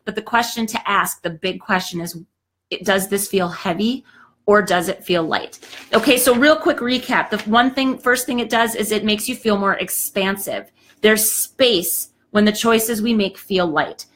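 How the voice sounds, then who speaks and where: A woman in her thirties or forties hosting a live show, giving a chatty, emphatic motivational talk and often saying "okay", her voice a little hoarse. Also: medium pitch, unhurried, fairly bright tone, slight background noise. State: slightly hoarse, clearing throat.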